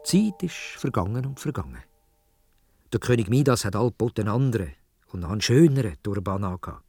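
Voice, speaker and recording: A man's voice: on the low side, big pitch swings, brisk, darkish tone, slight background noise. A man with a Swiss German accent telling a story for children in a dramatic way, addressing his listeners directly.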